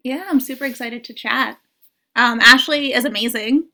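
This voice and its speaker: A woman's voice: fairly high pitch, normal speed, pushed and bright. A young woman, a coach guesting on a podcast, chatting casually and informally with frequent filler "like". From born in Morocco, grew up in the US and Morocco. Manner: informal, peppered with "like". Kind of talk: casual chat.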